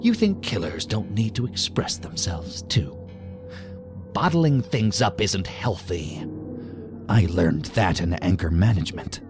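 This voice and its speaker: An actor playing a menacing, talkative intruder with dark sardonic humour in an audio drama, taunting and rambling as he goes. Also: deep voice, a little slow. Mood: taunting, playful. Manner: taunting, rambling, deadpan-joking. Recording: music under the voice.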